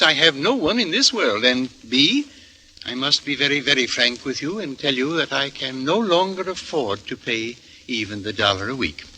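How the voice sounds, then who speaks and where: An actor playing a gentle, lonely old lodger in a 1940s radio drama, polite, hesitant and wordy. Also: male voice, mid-range voice, slightly rough, exaggerated voice. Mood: wistful. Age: older. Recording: noisy old radio broadcast recording.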